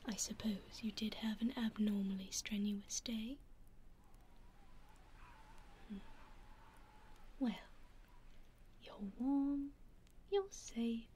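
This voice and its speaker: British woman doing an ancient vampire lady asmr, breathy whisper right up close, slightly high voice, really unhurried, aloof but kind. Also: slight background noise. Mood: soothing. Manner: hushed, unhurried, intimate. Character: centuries-old vampire lady, aloof yet quietly caring. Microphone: close binaural microphone.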